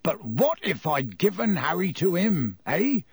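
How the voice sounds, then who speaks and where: A man voicing a gruff, hot-tempered big man in an audiobook, blunt and threatening, with a growl. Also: sits high, sing-song, measured pace, no room sound, voice pushed up, heavily acted. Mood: bitter anger.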